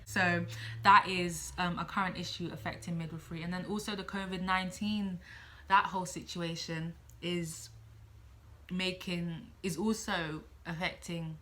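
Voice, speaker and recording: A woman's voice: lowish voice, fairly even intonation, unhurried, sharp tone. A woman in her late teens talking casually to camera as a student midwife giving advice, chatty, thinking aloud and repeating herself.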